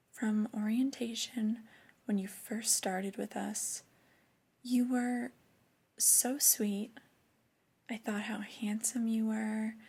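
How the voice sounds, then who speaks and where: Breathy, soft-spoken flirty female boss, acted by a woman. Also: on the high side, heavily acted. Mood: fond, flirty. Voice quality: breathy, often whispery. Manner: slow, deliberate, intimate reprimanding. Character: flirty, disappointed female store boss scolding an employee. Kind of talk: soft-spoken ASMR roleplay.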